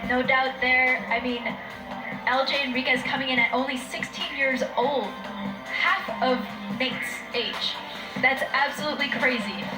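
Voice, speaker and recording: A woman with a fairly high voice gives enthusiastic color commentary and explains each trick. Her voice sounds roomy, and music runs underneath. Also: a little slow, distant mic. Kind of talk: live sports commentary.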